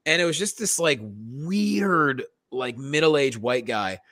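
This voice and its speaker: Male comedy co-host, mid-pitched, blunt and sweary, casual delivery, dry close sound. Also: animated, takes it slowly, fairly bright tone, no echo. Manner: blunt, profane. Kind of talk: casual chat, comedy shop talk.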